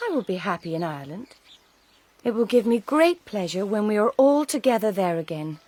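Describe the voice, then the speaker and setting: A young gentlewoman played by a woman in a period radio play. She is dignified and frank, with formal, composed phrasing and a medium-pitched voice. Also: sing-song, brisk, slight background noise, lightly acted. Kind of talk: period radio drama acting.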